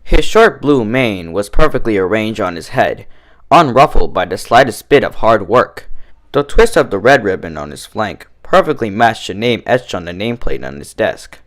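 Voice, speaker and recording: A man reading fan fiction aloud as an expressive storybook narrator. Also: fairly low pitch, moderate pace.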